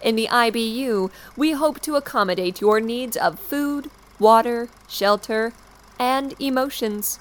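Formal high-voiced woman president played by an actress. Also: close to an everyday voice. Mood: official welcome. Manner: polished, formal, measured. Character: composed woman president of a dystopian government. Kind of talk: formal scripted official address.

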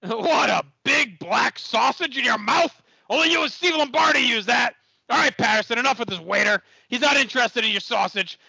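A man playing a brash, boastful, manic wrestling boss in a comedic audio play, with a strained gravelly growl and bombastic, shouted emphasis. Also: extremely high pitch, moderate pace, dry recording, muffled recording, heavily acted. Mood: crude, needling.

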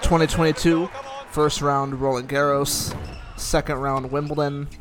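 A man's voice: fairly high pitch, fairly even intonation, unhurried. A man giving casual watch-along commentary, matter-of-fact and thinking aloud, sometimes reading aloud. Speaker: reaction host commenting on a documentary.

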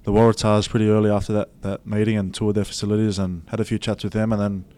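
A young man with an Australian accent giving relaxed, filler-heavy answers as an interview guest. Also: lowish voice, fast. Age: young adult. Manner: laid-back, many fillers and restarts. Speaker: rugby league player, guest.

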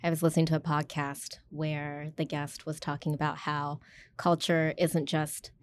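Low-voiced woman co-host. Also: level tone, moderate pace, a little hiss or hum. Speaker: podcast co-host. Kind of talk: interview hosting.

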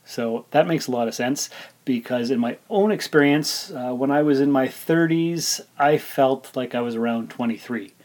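A man's voice: mid-range voice, normal speed, a little mellow. A man in his forties hosting a solo podcast, talking informally and thinking aloud as he rambles along. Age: 40s. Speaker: podcast host. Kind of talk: casual solo podcast monologue.

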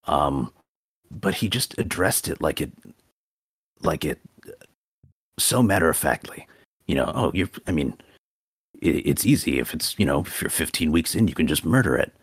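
A man calling in to a talk show, with a very deep voice, speaking slowly and hesitantly with many fillers and dry, deadpan humor. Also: slightly rough, no echo. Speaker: caller. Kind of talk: casual call-in chat.

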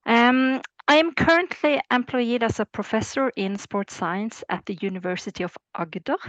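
A woman in her forties from Norway with a Norwegian accent, a professor speaking as a podcast guest, careful and measured with frequent fillers. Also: mid-range voice, dry recording, poor audio. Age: 40s. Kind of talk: podcast interview.

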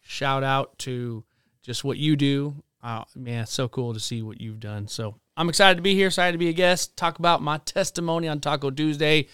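A man's voice: fairly high pitch, normal speed, fairly bright tone, clean tone, no echo, clean studio sound. A man in his thirties, a pastor guesting on a podcast, playful and joking, laughing often. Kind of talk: casual chat.

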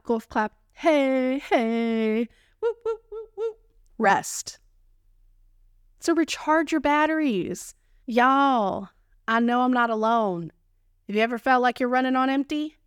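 A woman in her thirties with a Texan accent talks through her podcast in a playful, slangy way, cheering herself on as she goes. Her voice is smooth and resonant and unhurried. The sound is dry, with no room echo. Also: a little high. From Texas, United States. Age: 30s. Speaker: podcast host. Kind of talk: solo podcast monologue.

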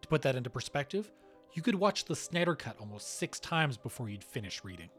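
A man's voice: mid-range voice, very animated, brisk, a bit bright, soft background music. A man hosting a podcast, reading scripted narration. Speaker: podcast host.

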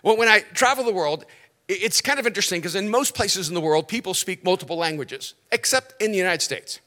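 Middle-aged pastor guy from el salvador, spanish his first language, highish bright voice, stretches words for punch. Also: fairly quick. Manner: animated storyteller, stretches key words, dry jokes. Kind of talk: sermon with stories and jokes.

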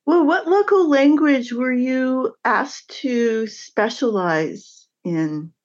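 A woman's voice: high-pitched, expressive, slow, lo-fi sound. A woman hosting a podcast interview, gracious and affirming as she introduces and questions her guest. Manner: gracious, with soft affirming murmurs. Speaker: podcast host.

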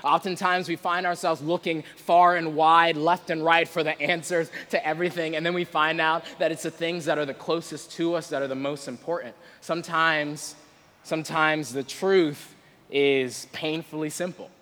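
A man's voice: fairly high pitch, steady tone, normal speed, bright, forceful tone. A man preaching a sermon in a conversational, informal and playful manner, sometimes reading scripture. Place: large reverberant room before a live audience. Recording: long hall reverb.

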